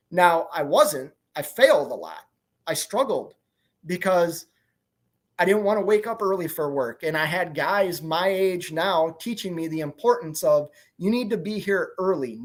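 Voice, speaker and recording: A man in his forties, a truck owner-operator, chatting candidly on a livestream in a rambling way and answering viewer comments. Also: fairly high pitch. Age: 40s. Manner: rambling, candid, repeats "you know what I mean". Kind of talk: casual livestream chat reading comments.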